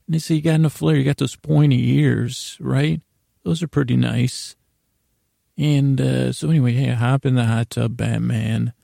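A middle-aged man hosting a bedtime podcast, rambling whimsically in a creaky voice, often halting and losing his thread. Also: medium pitch, steady tone, dry recording, studio quality. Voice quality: creaky, gravelly, mellow voice. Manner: meandering, halting, trails off mid-thought, whimsical. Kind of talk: rambling, improvised bedtime monologue to listeners.

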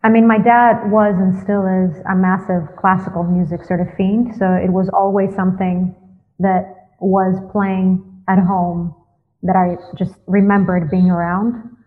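A young woman from Greece, a guest in a casual interview, thoughtful, often pausing with fillers before she answers. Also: medium pitch, flat delivery. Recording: tinny phone-like line.

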